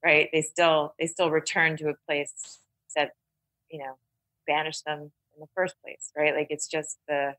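A woman's voice: low voice, little pitch movement, a little slow, a bit bright, no echo. A woman talking casually about literature as a podcast panelist, thoughtful and often checking in with "right?".

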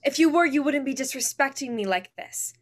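Woman acting as high-voiced controlling mother. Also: animated, brisk. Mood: forceful, indignant. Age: young adult. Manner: insistent, commanding. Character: controlling, bitter mother, former ballerina. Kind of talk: dramatic staged reading over video call. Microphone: video call at desk distance.

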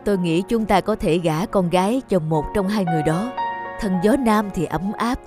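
A woman's voice: medium pitch, moderate pace, over music, lightly acted. A woman voicing a caring fairy-tale queen and mother in a cartoon, persuasive and motherly in manner.

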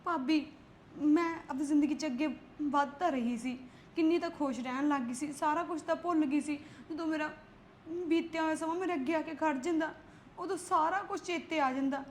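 A woman plays a frightened young teacher who protests and pleads through tears. She speaks with a high voice. There is a faint noise behind her. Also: fairly quick, lightly acted. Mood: tearful pleading. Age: young adult.

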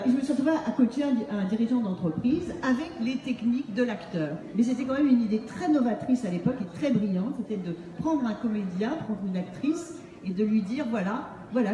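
High-voiced woman speaking in an echoey hall. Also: dark tone. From Montreal, Canada. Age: middle-aged. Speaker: author and acting-based speaking trainer. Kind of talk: humorous public book presentation. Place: on stage in a large book fair hall. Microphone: handheld microphone through PA, recorded by distant camera. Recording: echoey hall from far off, faint noise.

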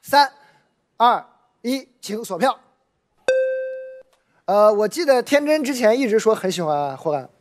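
A man hosting a comedy show, smoothly leading the audience vote and the chat. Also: sits high, lively intonation, measured pace, long pauses, soft background music. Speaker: show host.

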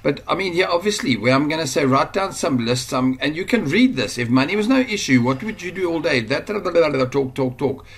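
A man's voice: fairly high pitch, expressive, fairly quick, hardly any pauses, husky. A man with a South African accent giving an informal screen-recorded tutorial, rambling with fillers and restarts. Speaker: online course instructor. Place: at a computer, voice-over screen recording.

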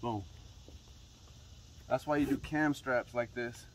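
A man's voice: mid-range voice, slow, slight background noise. Young man giving outdoor how-to tips. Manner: relaxed, instructive, addresses viewers directly. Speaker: fishing channel host. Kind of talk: casual how-to demonstration. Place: suburban driveway outdoors. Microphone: camera mic, moving toward and away.

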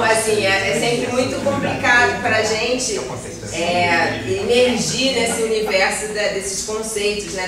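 A middle-aged woman with a Brazilian accent gives an informal lecture to a class in a hesitant, self-deprecating way, repeating words as she searches for the next one. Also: medium pitch. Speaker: guest lecturer, environmental civil servant. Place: classroom with projector, indoors. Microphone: far camera. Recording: roomy, noisy classroom recorded from far off.

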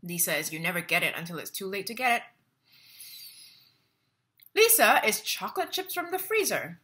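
Woman acting young woman, lonely, wry, restless, bright edgy tone, dramatic play reading. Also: medium pitch, animated, normal speed. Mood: wistful, resentful, then agitated, wry. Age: young adult. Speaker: actor in a staged play reading. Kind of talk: dramatic monologue read as social media status updates. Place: living room at home. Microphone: laptop webcam at close range.

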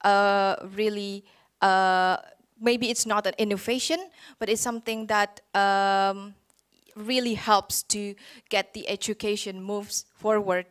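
A woman speaks on a conference panel, pausing often with fillers. Her voice is smooth and clear. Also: mid-range voice, fairly even intonation. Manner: hesitant, filler-heavy.

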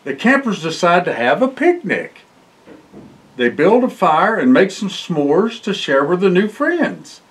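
An older man reading a children's picture book aloud in an expressive way, with exclamations and short asides. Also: sits high, a little slow. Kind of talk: storybook read-aloud for children. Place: indoor library room, seated by bookshelves.

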